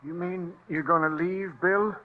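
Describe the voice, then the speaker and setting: A man's voice: high-pitched, fairly even intonation, a little slow, slight background noise. An actor playing a stubborn, cantankerous old grandfather of the frontier, with a folksy American Western drawl, in a vintage radio drama. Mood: dismayed. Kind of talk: 1950s Western radio acting. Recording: thin, narrow old radio recording.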